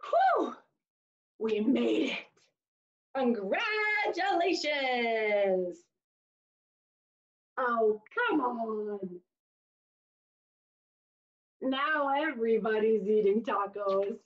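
A woman in her thirties reading a storybook aloud to young children with expressive, exaggerated delivery and dramatic pauses, in a small room. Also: fairly high pitch. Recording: dull, lo-fi webcam audio.

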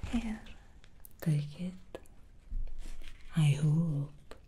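A woman voicing an alluring, scheming female demon hairstylist in an ASMR roleplay, speaking in a slow seductive whisper. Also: deep voice, mellow, a little hiss or hum. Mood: sly whisper.

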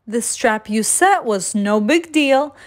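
Woman voicing a cheeky, animated little gingerbread man. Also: a little high, slow. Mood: gleeful boasting. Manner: playful, taunting, sing-song rhyming. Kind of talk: children's storybook read-aloud.

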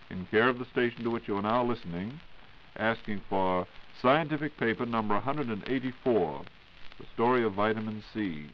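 Low-voiced American 1940s radio announcer, man. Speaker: radio show host and announcer. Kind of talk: 1940s radio announcing, scripted question-and-answer. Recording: dull, noisy 1940s radio recording.

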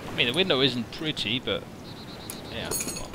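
A man from Denmark, Danish by birth, chatting casually and excitedly while building in a survival game with a friend, given to swearing and poking fun at himself. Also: medium pitch, normal speed. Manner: excitable, swears and self-mocking. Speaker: modder playing with a friend. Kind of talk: casual gaming chat. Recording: noisy game audio behind voice.

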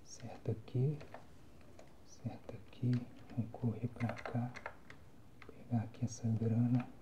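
A man's voice: mid-range voice, monotone, slow, dull audio. Whispering young Brazilian man, muted and noisy. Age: young adult. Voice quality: soft, breathy whispering. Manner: casual, slangy. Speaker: gamer, ASMR channel host. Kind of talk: whispered ASMR gameplay commentary. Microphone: phone microphone, close.

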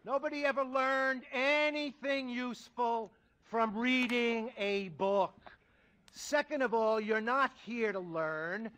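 A man's voice: extremely high pitch, pushed and bright, full character voice. A man playing a rich, ruthless, cynical finance mentor in a satirical video game movie, pompous and contemptuous. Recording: music under the dialogue.

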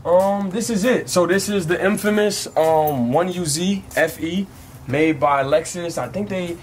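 A man's voice: high-pitched, unhurried, a little hiss or hum. Chatty young man in a driveway. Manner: relaxed, chatty, lots of ums. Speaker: vlogger. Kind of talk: casual car walkaround. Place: outdoors in a driveway.

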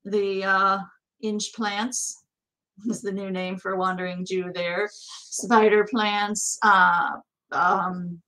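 Middle aged american lady, average pitch, chatty rambling co-host answering questions. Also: level tone, slow pace, sharp tone, low-quality recording. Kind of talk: casual question-and-answer chat. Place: small indoor office.

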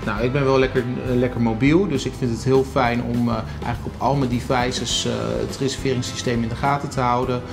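A man's voice: mid-range voice, little pitch movement, brisk, hardly any pauses. A man in his thirties, a restaurant owner, talking informally in a promotional interview with many hesitations and restarts, in a restaurant. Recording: music bed playing underneath.

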